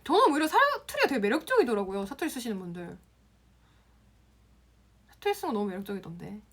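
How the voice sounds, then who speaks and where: A young woman from the Gyeongsang region hosts a casual live stream. She reads viewer comments aloud and answers them with a lively voice that rises and falls a lot, set somewhat high for a woman, laughing often. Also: quick pace. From Gyeongsang province, Korea. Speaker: Instagram class instructor on a live stream.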